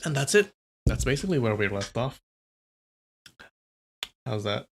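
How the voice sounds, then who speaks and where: A man's voice: medium pitch, sing-song, a little slow, gravelly, slight background noise. A young man from California reading a novel aloud on a livestream, chatting casually with viewers between passages.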